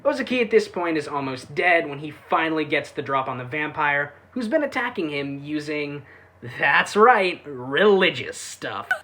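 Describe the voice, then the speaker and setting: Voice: medium pitch, very animated, normal speed, sharp tone, a little hiss or hum. A reviewer giving a casual, sarcastic recap with irreverent, sweary delivery. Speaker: YouTube anime reviewer.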